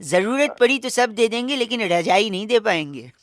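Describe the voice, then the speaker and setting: A man's voice: high voice, expressive, normal speed, a bit bright, no echo, clean studio sound. A man hosting radio prank calls, talking in a teasing, insistent, mock-polite way and sometimes reciting comic verse. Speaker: radio prank-call host.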